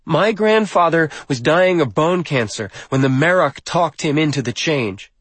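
A defiant young woman, acted out by a male narrator, pitched well above his usual voice and pushed louder. She is bitter and accusing, declaiming like a practised speech in an audiobook, with very clean, dry sound. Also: animated, measured pace, dry recording. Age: young adult.

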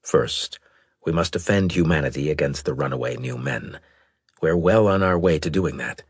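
A very low, husky admiral , as a male narrator plays him, big, gruff and commanding. He speaks deliberately and skeptically, like a senior officer questioning a subordinate, in a dry audiobook recording. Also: no echo. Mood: chiding, measured. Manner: deliberate, authoritative, probing. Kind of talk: audiobook character dialogue.

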